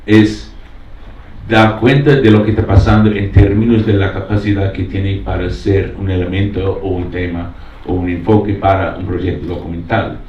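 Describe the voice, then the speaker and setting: Low-voiced man, non-native speaker, echoey recording. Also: level tone, moderate pace, a little mellow. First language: not Spanish. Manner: hesitant, searching for words. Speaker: documentary filmmaker on a panel. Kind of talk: reflective panel talk with personal account. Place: roomy panel venue. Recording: echoey, distant mic, dull, faintly noisy.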